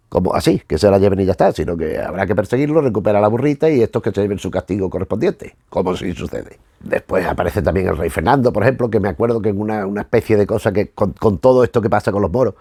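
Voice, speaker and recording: A man's voice: fairly low pitch, a little mellow, no room sound, studio quality. A man from giving an informal, digressive commentary from notes as host of a retro review show, often trailing off into vague tags.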